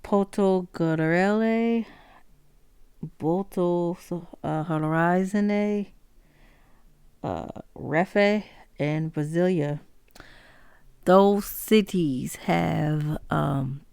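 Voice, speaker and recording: A woman hosting a solo music history podcast, talking haltingly with many pauses, fillers and self-corrections. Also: lowish voice, vocal fry, mellow, clean tone, dry recording. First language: not Spanish. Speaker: podcast host.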